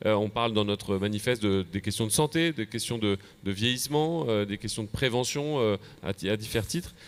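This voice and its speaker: A man with a French accent from France gives a semi-prepared conference presentation as an association official, halting and repeating words as he goes. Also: fairly low pitch, smooth. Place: convention plenary session.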